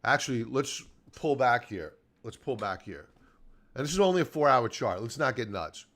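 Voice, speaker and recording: Bright, forceful man giving live trading commentary. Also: mid-range voice, expressive, moderate pace. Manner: emphatic, repeats key points for stress. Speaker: stock trading show host and technical analyst. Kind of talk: live market commentary over charts.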